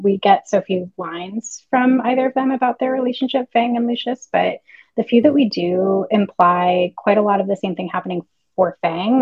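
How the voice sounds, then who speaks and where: A woman's voice: mid-range voice, darkish tone, no room sound, dull audio. A woman co-hosting a casual fan discussion podcast, thinking aloud in long, analytical, wordy stretches. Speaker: podcast co-host.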